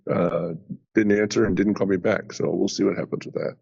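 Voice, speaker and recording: A man's voice: low-pitched, steady tone, fairly quick, gravelly, darkish tone, husky. A man taking part in a coaching video call, chatting casually. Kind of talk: casual chat. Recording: dull, lo-fi video call audio.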